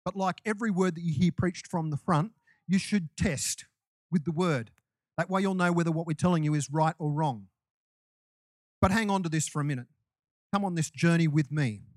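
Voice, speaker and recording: A man's voice: fairly high pitch, moderate pace, no echo. A man preaching a sermon to his congregation in a loose, conversational way, with restarts and asides. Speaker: pastor.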